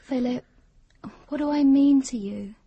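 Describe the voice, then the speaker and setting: An actress in a radio drama playing an intense, obsessive young woman lover, earnest and solemn, with a soft breathy voice. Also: sits high, measured pace, no echo, muffled recording. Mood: ardent, earnest.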